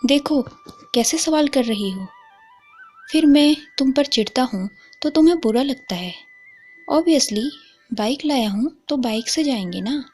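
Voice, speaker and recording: A woman plays a young man in love in a romantic audio story. He is bold, impatient and teasing, bossy yet fond, speaking slowly in a high register over faint music. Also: animated, a little mellow, slightly rough, heavily acted.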